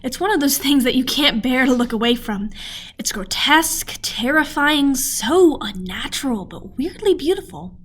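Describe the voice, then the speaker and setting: High-voiced, eager young woman scientist, acted. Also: expressive, moderate pace, fairly bright tone. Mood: awed, fascinated. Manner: wondering, rambling, self-interrupting. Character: eager young female doctoral student scientist, friendly, fascinated. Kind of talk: radio play audio log monologue.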